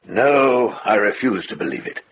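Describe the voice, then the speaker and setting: Indignant cleric, radio drama: righteous, emphatic, lowish voice with animated swings. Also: male voice, measured pace, slight background noise, rough recording. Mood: scandalized. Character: indignant, pious medieval churchman.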